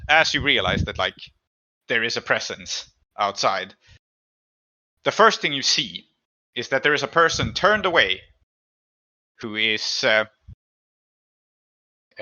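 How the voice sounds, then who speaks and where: A man acts as game master for a tabletop session. His voice is very bright and sharp at a middle pitch. He pieces descriptions together as he goes, and the recording is slightly dull. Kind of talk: narrating an improvised tabletop game.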